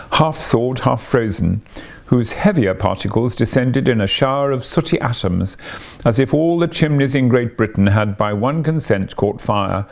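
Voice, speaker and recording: Male audiobook narrator for literary fiction: dramatic, deliberate delivery, creaky mid-pitched voice.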